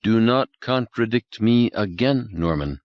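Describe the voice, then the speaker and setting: A man's voice: lowish voice, animated, unhurried, dry recording, lo-fi sound, pitched above the performer's own voice. A man voicing Jerry, an arrogant male alien intelligence that speaks stiffly and formally without contractions, in an audiobook reading. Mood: threatening.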